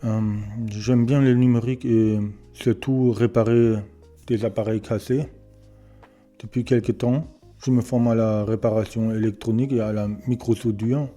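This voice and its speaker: A German man speaking French with a German accent, hesitantly introducing himself and showing his small home repair workshop, often pausing to search for words. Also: medium pitch, fairly even intonation, mellow, soft background music. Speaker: electronics repair beginner presenting his channel. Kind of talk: casual self-introduction and workshop tour.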